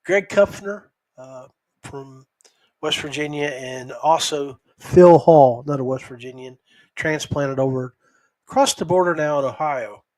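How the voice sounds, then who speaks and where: A man hosting a podcast, giving a casual, unscripted recap in a halting, folksy, conversational way. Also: on the high side, darkish tone, low-quality recording. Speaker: podcast host.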